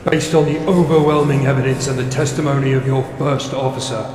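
An actor playing a stern, imperious military marshal who interrogates formally and with authority in a sci-fi audio drama. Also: male voice, medium pitch, level tone, fairly quick, a little mellow, slight background noise, close to an everyday voice. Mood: formal, grave. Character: stern, imperious male military marshal presiding over a tribunal. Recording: echoey hall reverb.

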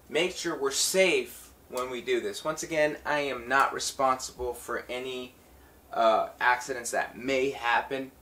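A man's voice: mid-range voice, a bit bright. A man hosting an instructional video, talking casually and informally to his viewers as he explains a hands-on process. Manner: informal, addresses viewers as guys and folks. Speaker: talk box tutorial host, musician.

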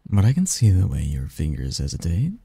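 A man voicing a seductive, doting sleep demon lover in an intimate ASMR roleplay, breathy and coaxing, close to the mic. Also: deep voice, huge pitch swings, dark tone, no echo, heavily acted. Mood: seductive, soothing. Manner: slow, coaxing, teasing, murmured. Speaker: roleplay audio performer. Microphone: close, dry microphone.

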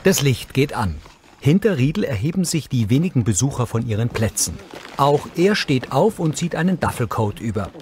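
A man's voice: mid-range voice, very animated, normal speed, noisy background. A man narrating scripted audio description in a neutral, factual manner.

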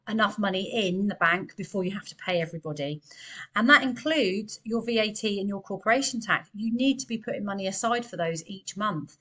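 Woman accountant, thirties, mid pitch, chatty, earnest, webcam in small room. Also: fairly bright tone. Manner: chatty, earnest, gesturing with hands. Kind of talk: direct-to-camera business advice.

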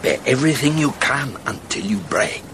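An actor in a radio drama playing a passionate, restless, melancholy officer father, philosophizing in a dramatic, halting way. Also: mid-range voice, sing-song, background noise, lightly acted. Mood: brooding intensity.

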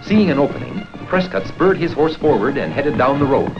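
A man reading scripted documentary narration for an educational history film in a formal, measured storytelling manner. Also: mid-range voice, sing-song. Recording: dull 16mm film soundtrack with music.

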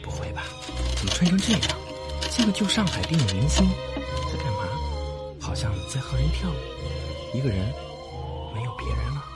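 A man's voice: on the high side, sing-song, moderate pace, long pauses. An actor playing a timid, polite, nosy young male tenant in a horror radio drama, hesitant and muttering. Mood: curious, uneasy. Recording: loud music behind the voice.

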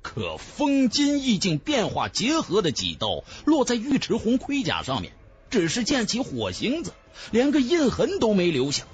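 A man's voice: high-pitched, huge pitch swings, moderate pace, dull audio. A man narrating a fantasy novel as a dramatic scripted audiobook. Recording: music bed under the voice.

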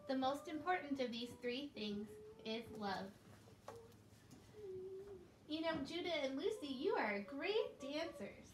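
Woman as children's puppet show host, high voice, encouraging and simple, recorded in an echoey room from a distance. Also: animated, takes it slowly, pauses often. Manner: simple, encouraging talk addressed to small children. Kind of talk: scripted children's storytelling. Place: living room, indoors. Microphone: distant camera. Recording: echoey, noisy room, distant camera microphone.

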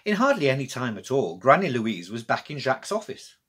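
A middle-aged male storyteller reads for children with deliberate expression. His pitch rises and falls widely, and his voice has a slightly husky, unpolished edge. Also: big pitch swings, fairly bright tone. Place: small room with bookshelves, indoors.

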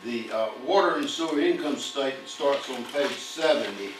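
A man's voice: medium pitch, expressive, normal speed. An older man presents an audit report to a town council, reading long lists of figures at a podium with occasional fillers. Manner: reads figures steadily, with fillers. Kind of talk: formal financial report reading. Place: municipal council chamber. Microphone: podium mic, far camera. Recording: echoey distant room audio with hum.

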